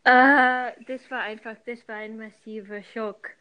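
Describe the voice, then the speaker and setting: A woman's voice: on the high side, fairly even intonation, a little slow, clean tone, highs cut off. A woman from London co-hosting a casual podcast over a remote call, talking hesitantly with restarts and fillers. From London, England. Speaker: podcast co-host.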